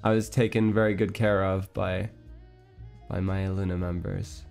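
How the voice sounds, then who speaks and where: A man streamer chatting casually with his viewers, rambling with restarts and little breathy laughs. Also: low-pitched, little pitch movement, a little slow, a little mellow, faint music behind.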